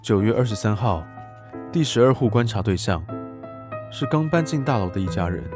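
Young man, actor, low register: courteous tutor and secret observer, audio drama narration over music. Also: animated, moderate pace, a little mellow, close to an everyday voice. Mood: detached, observing. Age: young adult. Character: polite young male tutor, secretly a watchful voyeur. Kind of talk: scripted radio drama narration and dialogue.

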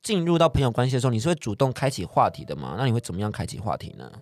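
A man's voice: medium pitch, sing-song, rapid-fire, a little mellow, dry recording, clean studio sound. A man with a Taiwanese Mandarin accent hosting a casual podcast chat, blunt and self-deprecating, swearing freely. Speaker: podcast host.

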